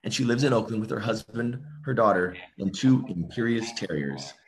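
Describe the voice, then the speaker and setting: A man's voice: lowish voice, lively intonation, moderate pace, slight background noise, rough recording. Smoky-voiced man introducing a speaker.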